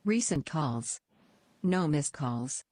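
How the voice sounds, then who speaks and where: A woman's voice: sits low, animated, slow, darkish tone, heavily acted. A woman voicing an impersonal phone screen reader with clipped announcements. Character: phone screen reader, flat robotic assistant.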